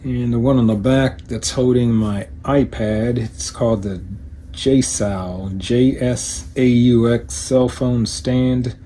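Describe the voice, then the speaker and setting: A man giving a casual, unscripted hands-on product demonstration in a low-key, hesitant manner, as a hobbyist reviewer. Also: fairly even intonation, slow pace, warm tone, a little hiss or hum.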